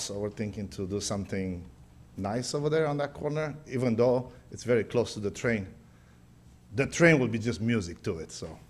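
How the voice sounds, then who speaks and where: A man's voice: mid-range voice, a little mellow. A middle-aged man with a Romanian accent, a pastor speaking at a county meeting's public hearing, hesitant and searching for words.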